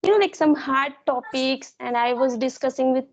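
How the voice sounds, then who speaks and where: High-voiced woman on a dull call. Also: moderate pace. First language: Hindi. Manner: hesitant, many fillers. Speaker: guest sharing her learning journey. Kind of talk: casual English practice conversation.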